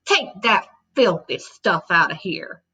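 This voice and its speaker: A woman voicing a tiny toy cowboy come to life, a gruff, self-pitying drinker with a drawling Texas accent, on a slightly dull recording. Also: lowish voice, animated, slow, pushed and bright, exaggerated voice. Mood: disgusted. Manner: drawling, ungrammatical, blustering.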